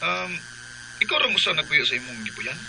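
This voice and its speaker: An actor playing a pushy, cheeky young suitor with a Filipino accent in a radio drama. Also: male voice, a little high, sing-song, brisk, vocal fry, over loud music, low-quality recording. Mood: cheeky, coaxing.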